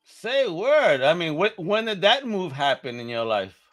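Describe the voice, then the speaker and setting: A man's voice: high-pitched, big pitch swings, a little slow, fairly bright tone. A man hosting a podcast interview in a hyped, jokey, slangy way, calling his guest brother. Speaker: podcast host.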